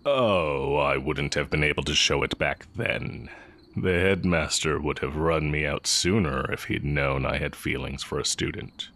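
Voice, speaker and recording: A man playing a sly, heretical magic teacher with secret longing, speaking in a measured, teasing way in a romantic audio roleplay. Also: rumbling low, fairly bright tone, exaggerated voice. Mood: tender, confessional. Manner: measured, deliberate, teasing delivery with drawn-out pauses.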